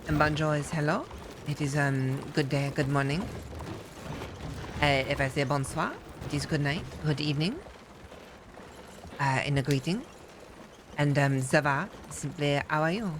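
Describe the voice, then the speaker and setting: A woman's voice: sits low, background noise, close to an everyday voice. A woman playing a formal lady. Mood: patient. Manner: formal, measured, sprinkles French words. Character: polite French-speaking woman, formal and patient.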